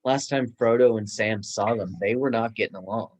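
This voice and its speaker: A man's voice: fairly low pitch, moderate pace, a little mellow. A man chatting casually and enthusiastically in a book discussion. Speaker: book club guest, bookstore worker.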